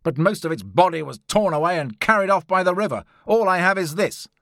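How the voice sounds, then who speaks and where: A man's voice: high voice, expressive, brisk, a bit bright, no echo, studio quality, pitched above the performer's own voice. A man voicing a hot-tempered, scornful scientist and adventurer in an audiobook story, speaking emphatically and indignantly. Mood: bitter.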